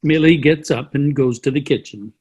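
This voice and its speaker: A man's voice: on the high side, moderate pace, darkish tone, breathy edge. A man reading stage directions as the narrator of a play reading. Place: video call.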